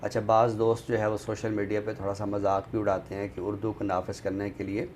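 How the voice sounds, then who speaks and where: A man with a Pakistani accent, speaking as an expert guest on a literary talk show in a measured, explanatory way. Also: on the low side, little pitch movement, a little mellow, a little hiss or hum. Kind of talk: conversational commentary on a talk show.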